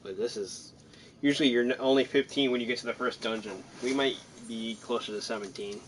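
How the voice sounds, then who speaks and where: A man's voice: medium pitch, fairly quick, slight background noise. A man giving casual, rambling, self-deprecating commentary while playing a video game. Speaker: gaming streamer. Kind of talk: casual let's-play commentary.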